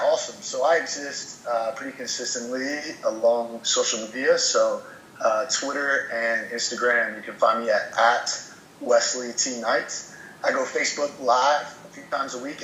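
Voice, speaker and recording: A man's voice: mid-range voice, a bit bright, slight background noise. Earnest motivational man in a roomy space. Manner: earnest, motivational, repeats words as he restarts. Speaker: podcast guest. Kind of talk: casual chat.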